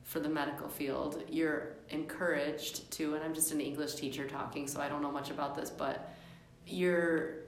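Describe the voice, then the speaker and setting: A woman's voice: sits low, little pitch movement, moderate pace, hardly any pauses. A woman with an American accent hosting a casual interview as a teacher chatting with a student. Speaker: podcast host, English teacher. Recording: echoey room, faint background noise.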